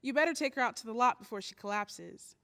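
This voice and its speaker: A woman playing a gentle, contented wife and mother in an old-time radio drama. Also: fairly high pitch, expressive, fast, vocal fry.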